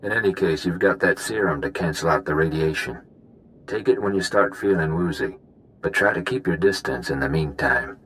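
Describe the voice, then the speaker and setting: Very deep-voiced, practical prison-break technician. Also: male voice, normal speed, a bit bright, rough recording. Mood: matter-of-fact. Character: calm practical male prison-break technician, matter-of-fact. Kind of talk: video game voice acting.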